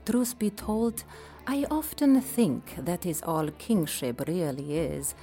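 A gracious Persian empress. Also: female voice, lowish voice, big pitch swings, slow pace, faint music behind. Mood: confiding. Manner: warm, hospitable, confiding. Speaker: voice actor in an audio drama. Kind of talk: audio drama acting.

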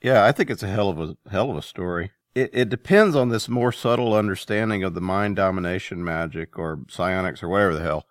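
A man's voice: sits low, fairly quick, hardly any pauses, no room sound, clean studio sound. A man hosting a podcast, asking informal, loosely phrased questions in casual interview chat.

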